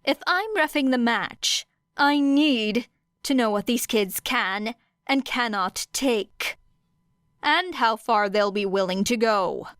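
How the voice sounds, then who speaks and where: A woman's voice: on the high side, animated, slow, a bit bright, clear, resonant tone, dry recording, studio quality. A woman voicing a stern, exasperated female referee with a blunt, firm manner in an audiobook story.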